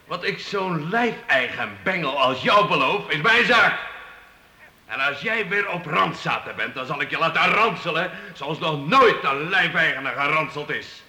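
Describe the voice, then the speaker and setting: Sharp, sneering cruel knight. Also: male voice, on the high side, expressive, moderate pace, exaggerated voice. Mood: menacing, scornful. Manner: menacing, contemptuous. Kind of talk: historical radio drama acting. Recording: echoey room.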